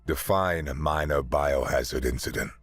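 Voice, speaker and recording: A man voicing a cynical, world-weary ship captain who speaks flatly and sardonically. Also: low voice, normal speed, no echo, soft background music. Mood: sardonic. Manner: dry, flat, sardonic.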